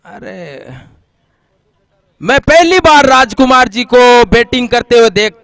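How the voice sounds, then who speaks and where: A high-voiced man calls a live cricket match in a casual, chatty style. His delivery is slow, and his voice is clean and smooth, captured dry with no room sound. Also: expressive, a bit bright. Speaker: cricket commentator.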